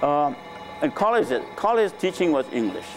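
Older man from nepal, nepali native, halting unhurried storytelling, lapel mic, lecture room. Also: fairly high pitch, very animated, noisy background. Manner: hesitant, many fillers and restarts. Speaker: interviewed scientist. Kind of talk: relaxed conversational storytelling. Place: lecture room with a small audience.

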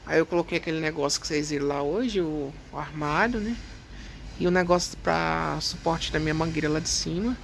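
A middle-aged Brazilian man with a high, creaky voice, chatting casually and expressively in a home vlog. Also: a little fast, a little hiss or hum. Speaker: home vlogger.

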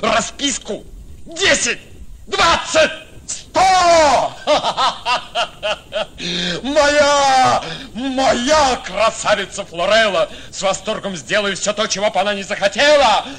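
An ardent young lover. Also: male voice, very high voice, lively intonation, slow, long pauses, noisy background, heavily acted. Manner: ardent, exultant. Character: ardent young male nobleman in love.

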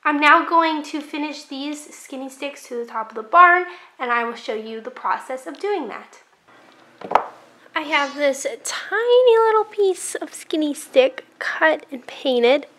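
A woman's voice: high-pitched, animated, takes it slowly, bright, forceful tone. A young woman casually narrating a craft project on her home channel, chatty and upbeat with light laughs.

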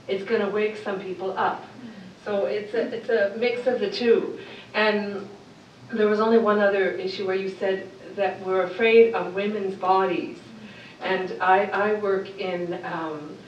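A woman's voice: mid-range voice, muffled recording. A woman in the audience shares an earnest, searching comment at a panel discussion, restarting her sentences as she goes. She sounds distant from the mic, her voice ringing off the walls of a lecture room, with a faint hiss beneath. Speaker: audience member, childbirth advocate. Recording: echoey hall from far off.

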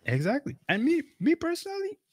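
A man's voice: very high-pitched, wildly swooping pitch, fairly quick, no room sound, studio quality. A middle-aged man from Cameroon hosting an interview over a video call, talking casually and emphatically with many fillers. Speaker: podcast host.

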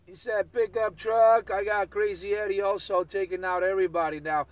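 A man's voice: high-pitched, fairly even intonation, normal speed. A man in casual, slangy CB radio banter, repeating catchphrases over and over. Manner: repetitive chanting of catchphrases, slangy. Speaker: CB radio operator. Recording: distant CB radio transmission, outdoor vehicle sound.

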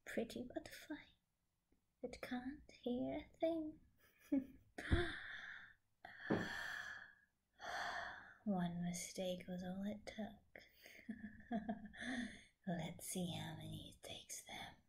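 A vengeful teenage supervillainess, acted by a woman for a fan audio drama. Her voice is mellow and whispery, at a middling pitch, and she draws out her mocking phrases slowly and theatrically. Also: creaky. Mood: sly, menacing. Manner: taunting, theatrical, drawn-out phrases.

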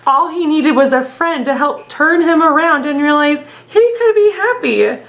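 A woman's voice: high-pitched, lively intonation, moderate pace, fairly bright tone. A woman in her thirties, a teacher expressively reading a story aloud to young children in a room at home. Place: small room at home, indoors.

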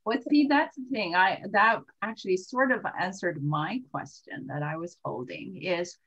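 A woman moderating a workshop on a video call, asking thoughtful, drawn-out questions. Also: fairly low pitch, a bit bright, slightly rough, dull audio.

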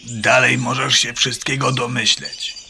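An actor playing an anxious, loyal male farmyard bird in a children's radio play, earnest and cautious, with a gravelly, strained voice. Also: mid-range voice, flat delivery, unhurried, pushed and bright, a little hiss or hum, exaggerated voice. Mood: worried.

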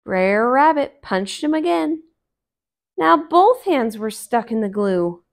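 Slow, expressive woman reading aloud. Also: a little high, creaky. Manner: expressive, deliberate. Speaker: storyteller. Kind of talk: children's read-aloud narration. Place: small living room, on a couch.

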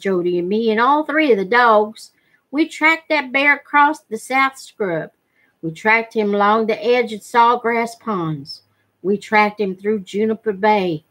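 Woman reading as a slow, folksy backwoods storyteller. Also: a little high, a bit bright, low-quality recording. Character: small backwoods hunter father, mild, spellbinding storyteller.

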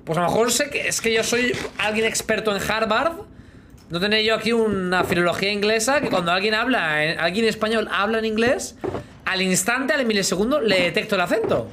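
A man's voice: high voice, fairly quick, background noise. A man in his thirties with a Spanish accent from Spain, chatting and joking with viewers on a live game stream, humming sound effects while he plays, on a headset in a small room. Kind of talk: casual live gaming chat.

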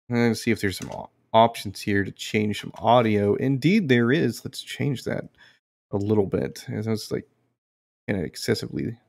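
Low-voiced man musing over a video game. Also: creaky, a little mellow. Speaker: gaming video host. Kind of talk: casual let's play commentary. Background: game music behind the voice.

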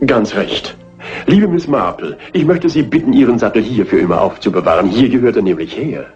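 An actor playing an eccentric, horse-loving older gentleman, courtly and chatty, in a dubbed crime film. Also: medium pitch, expressive, a little fast, lightly acted. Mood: courtly, earnest. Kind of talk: 1960s crime film dub. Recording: muffled husky old film soundtrack with music.

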